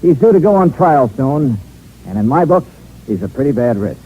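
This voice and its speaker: A man's voice: mid-range voice, big pitch swings, moderate pace, a little hiss or hum, natural voice. A man acting as a shrewd, sardonic insurance investigator in a 1950s radio detective drama, with an American accent and clipped, dry delivery. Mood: wry, reflective. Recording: old radio recording, narrow band, music.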